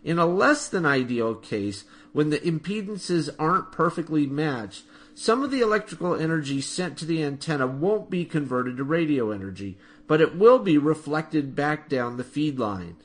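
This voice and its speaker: Guy hosting an explainer podcast, voice a bit on the high side, scripted and methodical. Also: very animated, normal speed, hardly any pauses. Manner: instructional, methodical. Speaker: podcast host.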